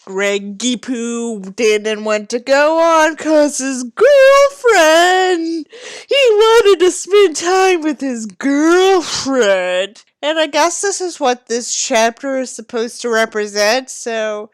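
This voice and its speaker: A woman's voice: high voice, lively intonation, takes it slowly, dry recording, clean studio sound. A woman doing a sarcastic dramatic reading with asides, theatrical and sardonic, given to swearing. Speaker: host reading a book aloud with commentary.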